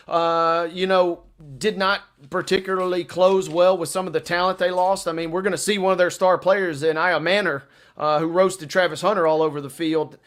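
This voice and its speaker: A man co-hosting a sports show, chatting through betting picks with colloquial, playful turns of phrase. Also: high-pitched.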